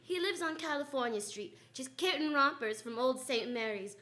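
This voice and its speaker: A woman's voice: high voice, lively intonation, moderate pace, bright, forceful tone. A woman playing a breezy, wisecracking female private eye who narrates a live radio drama in a wry, sassy way. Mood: wry narrating.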